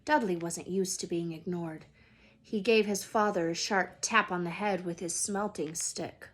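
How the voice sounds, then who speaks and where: Slow, slightly husky woman reading aloud. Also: on the low side. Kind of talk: expressive storybook read-aloud.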